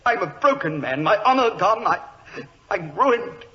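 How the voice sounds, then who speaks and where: An actor playing a high-strung, well-bred young government clerk in a 1940s radio drama, polite, excitable and wordy. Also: male voice, unhurried, exaggerated voice. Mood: distraught, nervous. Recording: muffled, noisy vintage radio recording.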